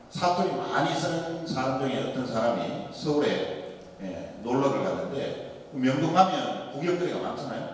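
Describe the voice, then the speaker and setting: Male priest, Daegu accent, joking sermon storyteller, long church reverb. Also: medium pitch. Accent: Daegu dialect. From Daegu, South Korea. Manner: animated, joking storyteller with rhetorical questions. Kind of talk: Lenten sermon lecture. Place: large church hall. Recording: echoey church hall, mic far off.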